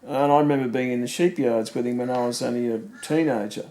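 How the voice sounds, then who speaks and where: A man's voice: medium pitch, fairly even intonation, quick pace, warm tone. A middle-aged Australian man casually reminiscing about family, rambling with fillers and leading questions. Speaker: family member recording memories.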